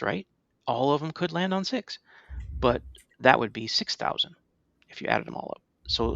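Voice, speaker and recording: A man's voice: mid-range voice, gravelly, fairly bright tone, dry recording, muffled recording. A middle-aged man co-hosting a podcast, explaining things in casual chat and often checking in with the listener. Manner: conversational, checks in with "right?". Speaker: podcast co-host.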